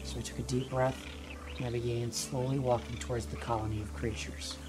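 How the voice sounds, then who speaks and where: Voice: medium pitch, level tone, close to an everyday voice. A performer as a reflective stranded traveler over music. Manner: measured, reflective storytelling. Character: weary stranded traveler recounting a journey in alien desert. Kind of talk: audio drama first-person log.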